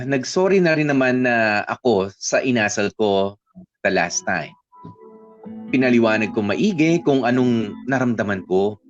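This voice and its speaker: A man's voice: medium pitch, lo-fi sound, lightly acted. A man acting a jealous, lovestruck young Filipino who narrates his confession hesitantly in a radio drama, in Taglish. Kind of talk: first-person letter narration in radio drama.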